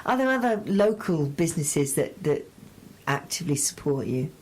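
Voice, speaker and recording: A woman's voice: deep voice, very animated, moderate pace, a little mellow, slight background noise, rough recording. A woman hosting a conversational radio interview in a chatty, encouraging way. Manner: encouraging, chatty, uses tag questions.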